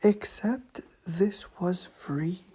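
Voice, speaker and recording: A woman narrating a bedtime sleep story in a soft, calm, hushed monotone with long pauses, often slipping toward a whisper. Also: on the low side, slightly rough.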